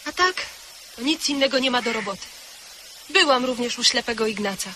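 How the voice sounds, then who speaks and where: A woman's voice: on the high side, expressive, fairly quick, background noise, close to an everyday voice. An actress playing an earnest female welfare inspector in a radio play, pointed and sincere. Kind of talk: 1970s radio drama acting.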